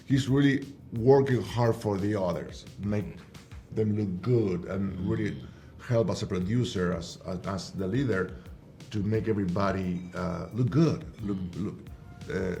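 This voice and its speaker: A man with a Spanish accent, speaking in a halting, self-mocking way in a press interview. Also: fairly low pitch, creaky, mellow, faint music behind. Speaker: actor.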